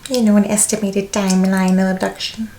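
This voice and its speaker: Woman acting a sassy, creaky-voiced lawyer. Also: medium pitch, flat delivery, brisk, mellow, slight background noise, pitched below the performer's own voice, lightly acted. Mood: sassy, incredulous. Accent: American. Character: sassy, sceptical female lawyer calming a client. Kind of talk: ASMR role play, soft-spoken.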